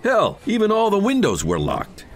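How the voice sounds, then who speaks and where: A man's voice: high-pitched, huge pitch swings, normal speed, faint music behind. A man playing a smug, condescending self-styled psychic in an audio horror story, speaking with theatrical emphasis. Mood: emphatic, irritated.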